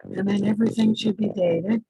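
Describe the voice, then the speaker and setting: A hoarse man teaching a lab class over a video call, halting with many fillers, his faulty microphone distorting his voice. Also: sits high, steady tone, dark tone, slight background noise. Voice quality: distorted mic that sounds like Darth Vader. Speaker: lab instructor. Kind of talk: online class lecture. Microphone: faulty computer mic on a video call.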